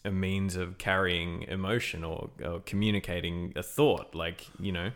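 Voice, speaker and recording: A man hosting a podcast interview, asking questions in a halting way with many ums and restarts. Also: low voice, fairly even intonation, fairly bright tone. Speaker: podcast host.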